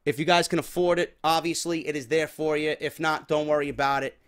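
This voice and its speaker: A man's voice: on the high side, steady tone, fairly quick, clear, resonant tone. A man with a New York accent hosting a podcast, chatting casually to viewers in an informal, emphatic, sweary way. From New York, USA. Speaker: podcast host.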